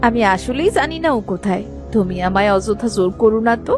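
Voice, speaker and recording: A guarded village woman, played by a female actor in a cartoon drama. She is chatty yet evasive and nervous, at a medium pitch. Clear music sits behind her. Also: lively intonation, a little fast, lightly acted. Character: guarded, nervous village sister-in-law keeping a secret. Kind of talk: dramatized Bengali cartoon story dialogue.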